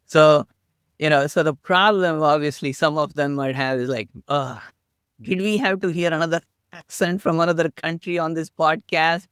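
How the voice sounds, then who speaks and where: A middle-aged man with an Indian accent, a podcast guest telling his story in a playful, animated, self-mocking way. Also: a little high, normal speed, smooth, no echo, studio quality. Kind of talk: casual interview talk.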